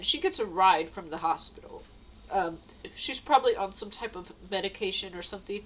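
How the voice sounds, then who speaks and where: A woman's voice: mid-range voice, fairly bright tone, slight background noise, low-quality recording. Stuffy-nosed woman rambling about her day. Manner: meandering, many fillers and restarts. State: stuffy nose from a cold. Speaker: vlogger. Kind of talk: rambling personal diary talk. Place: at home indoors.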